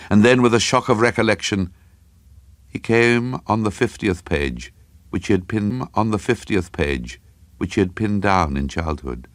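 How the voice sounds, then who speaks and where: A man reading a horror story for radio drama in a grave, suspenseful manner with deliberate, dramatic phrasing. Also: deep voice, no echo, clean studio sound.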